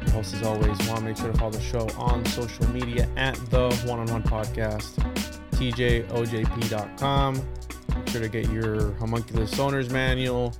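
A man's voice: mid-range voice, level tone, normal speed. Male podcast host with music behind. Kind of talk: casual podcast talk.

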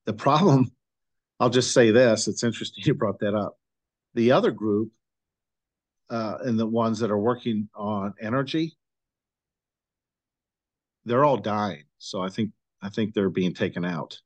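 Male podcast host, low-pitched, dry, earnest, halting delivery. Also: dry recording. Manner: halting, earnest, with frequent fillers. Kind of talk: casual conversational interview.

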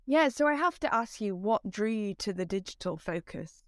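A woman interviewer asks her questions and responds with chatty affirmations. Her voice sits at a middle pitch. The recording is dry and close, with no echo. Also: expressive, normal speed. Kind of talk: casual interview.

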